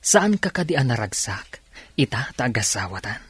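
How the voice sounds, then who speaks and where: A man's voice: medium pitch, very animated, normal speed, fairly bright tone, slightly rough, dry recording, heavily acted. A man playing a domineering new husband in a radio drama, speaking in an emphatic, demanding way. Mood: coaxing. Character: domineering new husband, turning from sweet to angry. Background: music.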